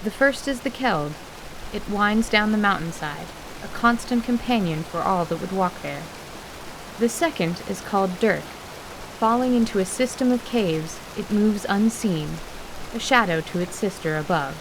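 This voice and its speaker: Measured woman narrator, faint hiss behind. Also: medium pitch, expressive. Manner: measured, literary storytelling. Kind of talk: scripted fantasy narration.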